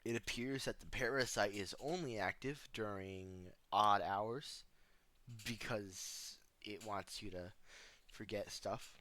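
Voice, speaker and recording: A man's voice: fairly low pitch, slow pace, pushed and bright. A man giving casual, joking video commentary in a sarcastic, flippant, slangy way, full of offhand asides. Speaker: video host reacting to analog horror.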